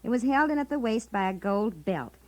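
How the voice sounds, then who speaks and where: An actress playing a chatty, romantic American wife in 1950s radio comedy, conversational, teasing and emphatic. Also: female voice, fairly high pitch, lively intonation, brisk, darkish tone, natural voice. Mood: dreamy, insistent.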